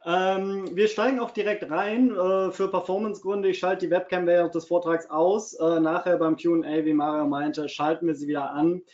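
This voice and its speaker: A man's voice: high voice, steady tone, moderate pace, hardly any pauses, darkish tone. A man from Cologne with a slight Cologne tinge, giving a live webinar as a consultant, chatty with many fillers and repeated words. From Germany, Cologne. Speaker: webinar presenter, SEO consultant. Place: home office. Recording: muffled lo-fi webinar audio.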